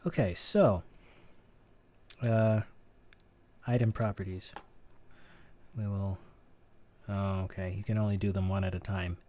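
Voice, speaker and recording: A man's voice: low-pitched, steady tone, unhurried, long pauses, mellow, a little hiss or hum. A man teaching sound editing in a casual, think-aloud tutorial, with keyboard clicks behind him. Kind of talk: screen-recorded tutorial.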